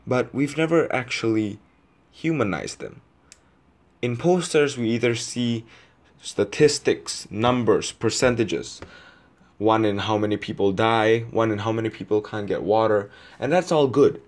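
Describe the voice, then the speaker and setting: Low-voiced man in a reflective monologue. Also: measured pace. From Indonesia. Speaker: video essayist.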